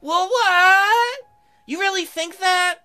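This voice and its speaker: A woman's voice: takes it slowly, bright, forceful tone, faint music behind, heavily acted. A woman voicing a sweet, eager, easily flustered schoolgirl class president in a visual novel, with a girlish voice. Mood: hurt. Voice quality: high, girlish.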